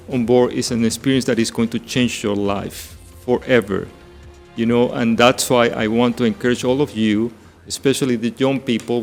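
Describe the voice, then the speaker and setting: A middle-aged man with an Ecuadorian Spanish accent speaks earnestly as an interview guest. His voice is creaky and gravelly at an ordinary male pitch. Faint music runs underneath. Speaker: ship director, interview guest.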